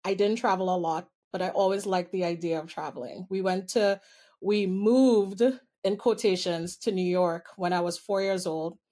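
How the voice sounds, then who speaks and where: A woman's voice: fairly low pitch, fairly even intonation, normal speed, dark tone. A woman from Trinidad with a Trinidadian accent, a guest telling personal stories in an interview in a candid, amused way and drawing out key words.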